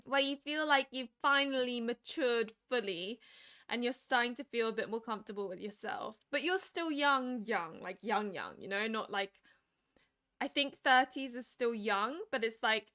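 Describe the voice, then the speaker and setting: Late-twenties female streamer, high bright voice, chatty and animated. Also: moderate pace. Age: 20s. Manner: chatty, laughs often, animated. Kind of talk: casual chat.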